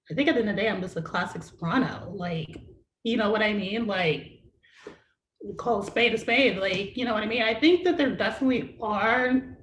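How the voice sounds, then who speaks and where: High-voiced singer, rambling casual talk. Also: a bit bright. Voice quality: naturally high-pitched voice. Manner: rambling, heavy use of "like" and "you know what I mean". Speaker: interview guest, singer. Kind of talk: casual interview chat. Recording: echoey room, faint noise.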